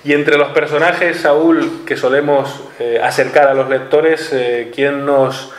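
A man, presenting a newspaper's monthly issue in a small studio, talking briskly. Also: fairly high pitch, fairly even intonation. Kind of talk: studio news chat. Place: small studio with blue backdrop. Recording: echoey studio room.

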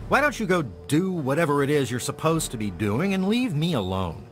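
Surly, animated lab worker. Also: male voice, fairly high pitch, normal speed, faint music behind. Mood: angry, resentful. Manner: irritable, scornful, curt. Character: surly, territorial, resentful male lab worker. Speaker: video game character actor. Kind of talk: scripted game dialogue.

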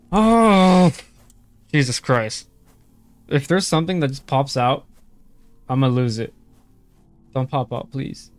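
A young man plays a horror game into a headset mic in a dry, close small room. His voice sits a bit high and he talks slowly, spilling jumpy, nervous remarks at his viewers. His throat sounds a little strained. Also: clean tone, no echo. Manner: jumpy, nervous exclamations, keeps calling viewers bro. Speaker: gaming streamer. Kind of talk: horror game playthrough commentary.

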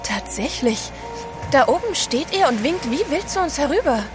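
An actress playing a compassionate, easily alarmed gentlewoman at sea in a radio drama. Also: sits high, sing-song, normal speed, over music. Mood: excited.

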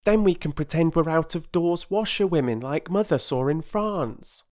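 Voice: mid-range voice, moderate pace, a little mellow, voice pushed up, natural voice. A narrator voicing an eager, chirpy young girl in a children's storybook. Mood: playful, bossy.

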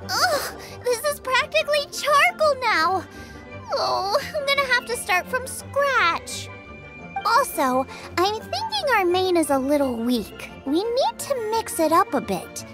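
Excitable, very high-pitched young girl chef. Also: takes it slowly, over loud music, full character voice. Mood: panicked, then brisk. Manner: exclamatory, eager. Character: excitable teenage girl chef, cheerful and bossy. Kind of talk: video game voice acting.

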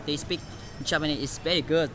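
A young man from Vietnam, a local guide, talking in a friendly, halting way and correcting himself as he goes. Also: fairly high pitch, steady tone, fairly bright tone, a little hiss or hum. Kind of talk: casual chat. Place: city street outdoors. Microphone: phone camera at arm's length.

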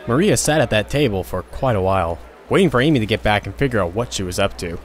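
A man's voice: lowish voice, expressive, fast, a little hiss or hum. A man narrating an audio drama in a casual storytelling manner.

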